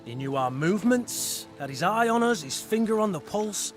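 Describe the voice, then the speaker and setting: An actor playing a cocky rogue companion in a video game, talking in a wry, casual, persuasive manner. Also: male voice, high-pitched, animated, normal speed. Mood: persuasive, sly. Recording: music behind the voice.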